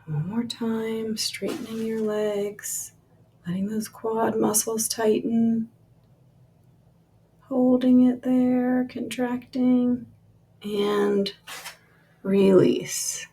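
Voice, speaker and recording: A woman leading a guided relaxation meditation with soft, lingering instructions that trail off into breathy releases, in a husky, creaky voice. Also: on the high side, fairly even intonation, drawn-out words, pauses often.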